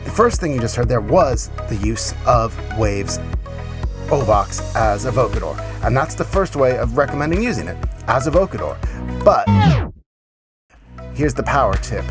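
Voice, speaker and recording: A man's voice: medium pitch, sing-song, normal speed. A man in his thirties giving an informal, enthusiastic, slangy music production tutorial on a close studio microphone in a small home studio. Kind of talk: casual instructional video presenting. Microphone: close studio microphone with pop filter.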